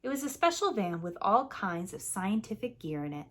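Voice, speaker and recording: A woman's voice: mid-range voice, sing-song, moderate pace. A woman preschool teacher narrating a children's picture book as a storyteller.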